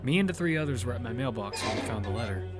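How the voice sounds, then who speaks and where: A man's voice: medium pitch, animated, fast talker, lightly acted. A man playing a determined lead who narrates his own survival story in a matter-of-fact way. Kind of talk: first-person audio fiction narration. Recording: music bed behind the voice.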